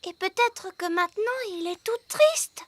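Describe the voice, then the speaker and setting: A woman voicing a sweet, earnest little fairy girl in a French cartoon, childlike and expressive. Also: extremely high pitch, measured pace. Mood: concerned.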